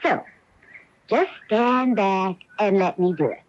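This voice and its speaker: An actress playing a sweet, self-assured and determined young wife in a radio mystery drama. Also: female voice, mid-range voice, slow pace, heavy background noise, lightly acted. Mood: breezily confident. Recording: dull lo-fi AM radio broadcast.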